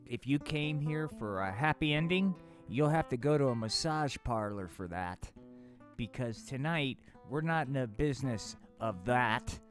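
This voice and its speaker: A man hosting a live puppet stream, talking off the cuff in a slangy, profane way and tacking "man" onto his sentences. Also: mid-range voice. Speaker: puppeteer host. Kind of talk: unscripted live stream banter.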